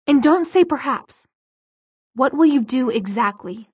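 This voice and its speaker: Woman playing an earnest, high-voiced young heroine. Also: expressive, slightly rough, no room sound, narrow, tinny audio, full character voice. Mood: insistent. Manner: quick, urgent, pleading.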